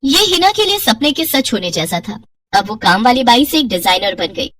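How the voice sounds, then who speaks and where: Female narrator for cartoon fables, scripted storytelling delivery, pitched a bit high, dry recording. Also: sing-song, moderate pace, fairly bright tone, dry recording, recorded from a distance.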